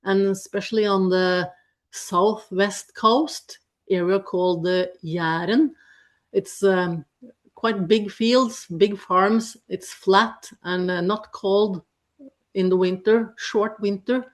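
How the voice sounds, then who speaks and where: Woman, Norwegian accent, Norwegian farmer, webinar talk, slow, halting, laughs lightly, middling pitch. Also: fairly even intonation. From Norway. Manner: halting, frequent small laughs, friendly. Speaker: dairy farmer presenter. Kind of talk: webinar presentation. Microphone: video call.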